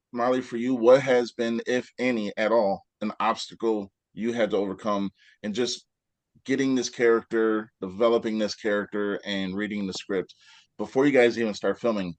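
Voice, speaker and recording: A man's voice: fairly low pitch, normal speed, dry recording. A man hosting a casual interview, rambling with lots of fillers and restarts. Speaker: host.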